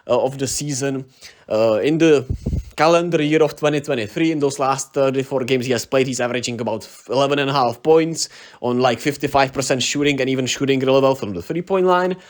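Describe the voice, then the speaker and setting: Chatty Czech-accented man talking basketball. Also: on the high side. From Czech Republic. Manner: chatty, laughs often, many fillers and tag questions. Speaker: sports channel host. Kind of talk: casual solo basketball commentary.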